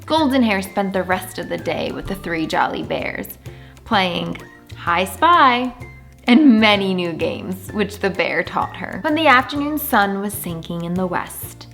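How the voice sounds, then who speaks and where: A woman reads a children's story aloud with expressive, deliberate phrasing. Her voice sits at a medium pitch, and she stretches words for emphasis. Faint music plays behind her. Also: creaky. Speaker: children's story reader and channel host.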